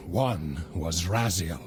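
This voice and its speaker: An actor playing a wounded, devout soldier facing death in a dramatic video game, his voice strained and breathy. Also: deep voice, drawn-out words, music in the background. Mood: weary. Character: dying wounded vampire-hunting soldier, devout, haunted by death.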